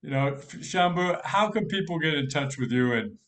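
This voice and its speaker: A man hosts a podcast interview in a somewhat higher voice. He affirms his guest and closes the conversation with an encouraging word to listeners. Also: lively intonation, moderate pace, fairly bright tone. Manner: affirming, wraps up the show. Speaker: podcast host.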